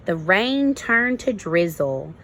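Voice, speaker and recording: Woman, kindergarten teacher, storybook read-aloud with simple asides, gravelly fry, slow delivery. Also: medium pitch, big pitch swings, sharp tone, slight background noise. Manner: simple explanations addressed to young children.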